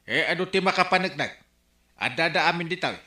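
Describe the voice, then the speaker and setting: A man's voice: on the high side, a little fast, bright, forceful tone, roomy sound. A man playing an exasperated comic village captain with a Filipino accent in a radio drama. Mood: confronting.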